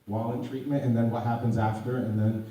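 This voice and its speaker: A man's voice: mid-range voice, little pitch movement, fast, mellow, muffled recording. A man who is losing his voice gives a public talk as an addiction counselor. He often restarts his words and grows emphatic as he goes on. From United States. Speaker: addiction counselor speaking at a community panel. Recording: echoey room audio from far off.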